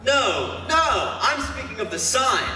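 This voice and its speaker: Male actor as contemptuous Pharisee, church drama, very forceful and emphatic, distant reverberant pickup. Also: very high-pitched, sing-song, slow pace, exaggerated voice. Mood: indignant. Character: indignant, scornful Pharisee religious leader. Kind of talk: church stage Easter drama acting. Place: church hall stage performance. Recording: echoey hall, distant mic, noisy.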